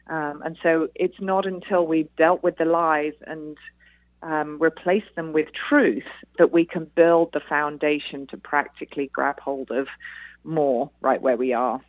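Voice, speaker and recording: A woman's voice: deep voice, fairly even intonation, unhurried, no room sound. A British woman, middle-aged, answering radio interview questions over the telephone in an earnest, explanatory way. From Britain. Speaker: interview guest, author. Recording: muffled, band-limited phone line.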